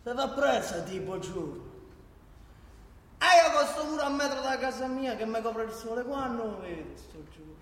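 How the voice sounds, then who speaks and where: A bitter working-class local with a thick Roman accent in a radio drama. His voice is pitched very high, indignant and sarcastic. Long hall reverb rings around it. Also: sing-song, normal speed, a bit bright, slight background noise, full character voice. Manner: sarcastic, indignant, listing grievances. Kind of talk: theatrical radio play dialogue. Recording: echoey hall.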